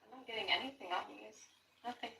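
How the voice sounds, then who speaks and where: A woman speaking as a paranormal investigator, asking questions out loud during an investigation. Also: mid-range voice, fairly quick, vocal fry. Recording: distant mic, faint room noise.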